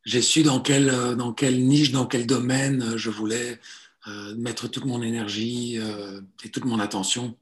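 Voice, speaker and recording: Forties male therapist, halting and hesitant, restarts often, mid-range voice. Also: medium pitch, little pitch movement. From Italy. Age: 40s. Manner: hesitant, many fillers and restarts. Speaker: guest, psychotherapist and coach. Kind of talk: relaxed conversational interview.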